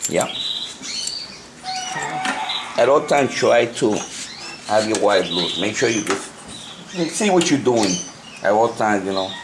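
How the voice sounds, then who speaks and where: A man with a Puerto Rican accent, a native Spanish speaker, gives a casual hands-on tutorial as a hobbyist, halting, repeating and correcting himself as he goes. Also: on the low side, expressive. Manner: halting, repetitive, self-correcting. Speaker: amateur radio hobbyist giving a tutorial. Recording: noisy amateur camcorder sound.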